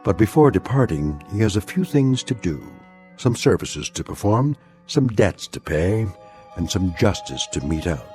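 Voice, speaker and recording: A man narrating a radio drama in a sardonic, ominous way with deliberate dramatic phrasing. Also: lowish voice, big pitch swings, darkish tone, faint music behind.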